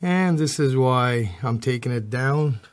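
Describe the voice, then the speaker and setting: A man's voice: medium pitch, animated, unhurried. A man talks in a mid-range voice. He sounds like a hobbyist giving an informal how-to, plain-spoken and offhand, speaking from behind a handheld camera. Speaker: amateur radio hobbyist presenting a how-to video. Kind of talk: casual hands-on demonstration. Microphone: handheld camera mic, operator behind camera.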